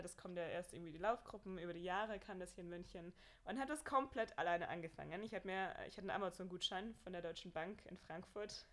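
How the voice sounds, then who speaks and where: Lively young Bavarian woman telling stories. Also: lowish voice. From Bavaria, Germany. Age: young adult. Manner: lively, laughs often, storytelling. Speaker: guest, marathon runner. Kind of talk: casual podcast interview.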